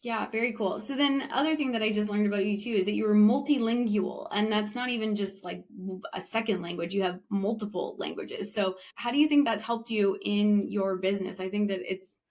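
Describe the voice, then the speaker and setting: Chatty woman podcast host, friendly enthusiastic, mid pitch. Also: brisk, few pauses, a little mellow. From Canada. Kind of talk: casual interview chat.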